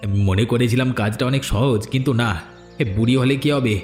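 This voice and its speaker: A greedy, reluctant village henchman played by a man complains in a mid-pitched voice. The delivery is hesitant cartoon drama, heard in a roomy echo with music underneath. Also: moderate pace, darkish tone, natural voice. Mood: grudging. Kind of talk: dramatic fairy-tale cartoon voice acting. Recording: echoey studio dub with background music.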